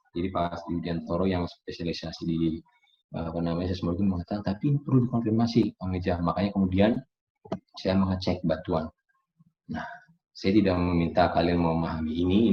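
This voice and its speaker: A man giving an informal explanatory geology lecture, using everyday analogies and often checking that listeners follow. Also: low-pitched. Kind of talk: explanatory online lecture to an audience. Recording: roomy echo, dull lo-fi audio.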